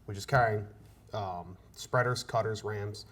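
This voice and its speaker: A man's voice: lowish voice, a little slow, a bit bright. A man, a fire officer explaining things casually in an interview.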